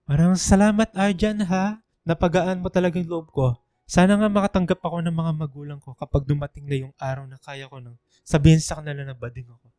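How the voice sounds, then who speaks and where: A man playing a hesitant young Filipino man in a radio drama, confiding and soft-hearted. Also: on the high side, steady tone, quick pace, no echo, lightly acted. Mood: grateful, hopeful. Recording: dry studio with faint music.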